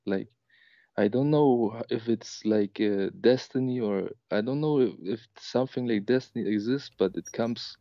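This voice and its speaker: A man's voice: medium pitch, normal speed, dark tone, dry recording, muffled recording. A young man from Germany in his twenties calling in to tell a personal story, hesitant and emotional, with many fillers and restarts. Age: 20s. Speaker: caller. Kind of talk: personal story on a call-in show.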